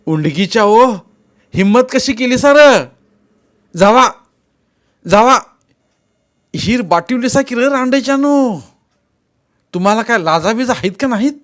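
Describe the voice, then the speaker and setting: A man voicing a bossy, aggressive village boy in a story, scolding and shouting in rough rural speech. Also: high voice, measured pace, pitched above the performer's own voice. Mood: angry, threatening.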